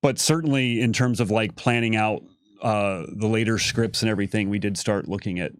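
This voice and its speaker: A man's voice: fairly low pitch, steady tone, brisk, smooth. A man talking conversationally in a livestream Q&A, explaining things and restarting his phrases. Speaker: creative director co-presenting on a livestream. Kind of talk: unscripted livestream Q&A.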